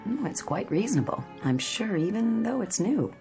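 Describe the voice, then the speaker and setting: A woman's voice: medium pitch, big pitch swings, moderate pace, a little mellow, faint music behind. An actress playing a gracious, chatty small-town woman with old-fashioned politeness in a radio drama. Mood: brightly reassuring.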